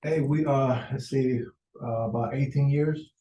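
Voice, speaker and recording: A man's voice: medium pitch, fairly even intonation, a little slow, mellow, reverberant, poor audio. A man in his forties, from Venezuela, chatting casually as a client on a sales video call, hesitant and full of fillers. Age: 40s. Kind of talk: casual conversation.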